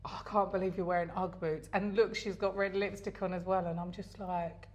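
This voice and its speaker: A woman's voice: fairly low pitch, level tone, a little fast. A middle-aged woman from England with a Southern English accent, co-hosting a podcast and telling stories in casual chat, with lots of fillers and restarts. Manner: chatty storyteller with frequent fillers and restarts. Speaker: podcast co-host.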